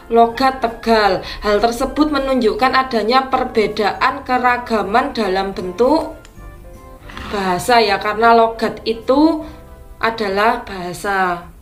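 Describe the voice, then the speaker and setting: A woman teacher going through practice exam questions, explaining each answer slowly and deliberately. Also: a little high, a bit bright.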